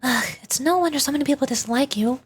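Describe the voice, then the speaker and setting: A woman's voice: sits high, quick pace, noisy background, close to an everyday voice. A woman voicing a small, childish, exclaiming fairy companion from a game, reading the lines aloud. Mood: exasperated. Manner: exclaiming, refers to self by name. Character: small childish fairy companion, indignant food-loving chatterer.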